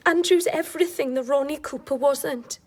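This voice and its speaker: A woman's voice: sits high, moderate pace, darkish tone, natural voice. A woman acting in a radio drama as a troubled, lonely young wife, confiding and vulnerable. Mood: confiding, uneasy.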